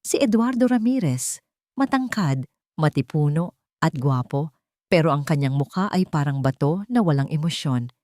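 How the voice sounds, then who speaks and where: A woman's voice: low voice, expressive, normal speed, dark tone, no room sound, clean studio sound. A woman reading a dramatic scripted story as its narrator.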